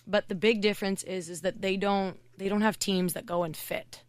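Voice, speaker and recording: A woman hockey coach from Canada, a podcast guest, talking in a loud, chatty way with lots of "like" fillers. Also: mid-range voice, fairly even intonation, no room sound. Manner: chatty, fast storytelling with many "like" fillers. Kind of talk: casual podcast interview.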